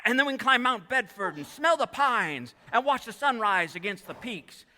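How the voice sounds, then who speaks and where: A man's voice: very high voice, animated, normal speed, pushed and bright, full character voice. An actor playing a restless, earnest young man in an old-time radio play, stammering and exclaiming, taped live on stage. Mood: restless, romantic. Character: restless, earnest young man dreaming of leaving his small town. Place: theatre stage. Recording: live stage taping in echoey hall.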